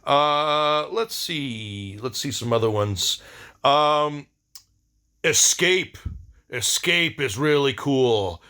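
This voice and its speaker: A middle-aged man talking casually in a rambling video diary, halting and slangy, with laid-back enthusiasm. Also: mid-range voice, animated, pushed and bright, smooth. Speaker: vlogger.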